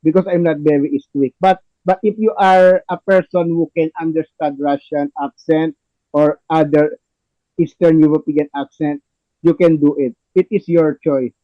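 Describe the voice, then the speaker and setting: A man with halting, repetitive, non-native phrasing, narrating a play reading and chatting with the cast as podcast host. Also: on the high side, fairly even intonation, no room sound, lo-fi sound.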